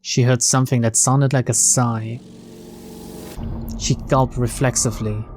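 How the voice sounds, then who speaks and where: A man reading a visual novel aloud as narrator, in an expressive, dramatic storytelling style. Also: medium pitch, unhurried, slight background noise. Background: faint game music.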